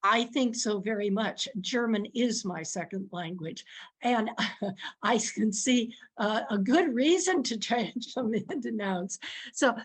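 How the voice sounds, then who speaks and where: Slow, high-voiced woman guest speaker. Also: animated, husky. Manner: thoughtful, many restarts, soft laughs. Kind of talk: answering questions in an online session.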